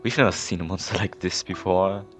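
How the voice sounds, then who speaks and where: A man with a low-pitched voice reacts casually to a video game. He is sarcastic and incredulous, talking off the cuff over faint game music. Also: fairly even intonation, brisk. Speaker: gamer, playthrough commentator.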